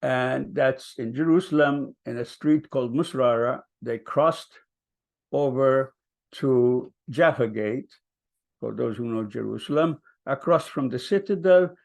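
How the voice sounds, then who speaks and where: An older man speaks unhurriedly, his low voice rough with fry. He tells his own story at a vigil, pausing often and filling the gaps with soft ums. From Palestine. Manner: hesitant, many ums, soft-spoken. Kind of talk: personal storytelling.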